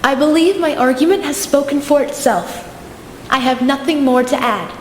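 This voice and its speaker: A woman's voice: high voice, normal speed, a little hiss or hum, lightly acted. A woman playing a confident, defiant debater in a radio drama, recorded in an echoey hall with music. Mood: defiant, formal. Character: confident, defiant female debater defending monarchy. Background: loud music.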